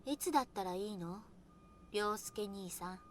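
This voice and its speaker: An actress playing a curt, guarded young woman in a Japanese visual novel game, giving sharp orders, with music mixed in. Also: medium pitch, animated, quick pace, close to an everyday voice. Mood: insistent. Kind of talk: Japanese anime game acting. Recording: game audio with loud music.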